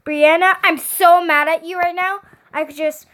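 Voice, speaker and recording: A woman's voice: high voice, lively intonation, slow pace, a bit bright, heavily acted. A woman voicing an exasperated young big sister in a storybook, melodramatic and indignant. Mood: tearful, upset.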